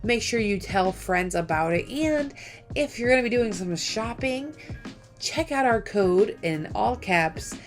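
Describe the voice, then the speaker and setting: A woman's voice: fairly high pitch, lively intonation, measured pace, soft background music. A woman hosting a podcast, enthusiastic and chatty, who laughs easily with her guest. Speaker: podcast host. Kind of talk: upbeat podcast interview.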